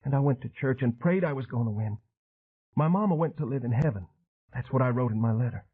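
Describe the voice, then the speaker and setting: A man voicing an unstable madwoman with a high, trembling voice, fragile and fervent. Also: animated, a little fast, slightly rough, narrow, tinny audio. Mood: excited, rambling.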